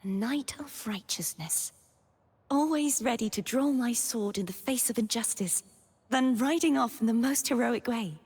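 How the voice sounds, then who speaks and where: A gentle young maiden-knight from a fantasy game, speaks in a soft, breathy voice that sits a little high. She is earnest and solemn, choosing her words like a quiet vow. A long reverb trails after her. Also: normal speed. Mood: earnest, hopeful. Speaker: game character voice actor. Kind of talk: scripted character monologue.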